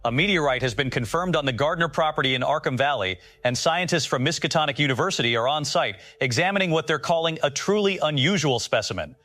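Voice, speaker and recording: Radio drama news anchor, played by a man: quick, bright delivery, composed and formal. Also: fairly high pitch, expressive. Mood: composed, inquiring. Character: brisk, polished male television news anchor. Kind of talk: scripted broadcast news reading in audio drama.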